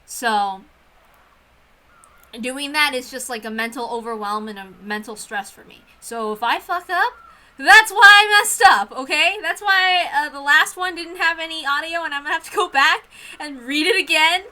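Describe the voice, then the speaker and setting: A woman's voice: high voice, normal speed, pushed and bright. A woman streamer chatting casually, rambling in an apologetic way and often interrupting herself. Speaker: streamer who reads visual novels aloud. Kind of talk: casual livestream chat.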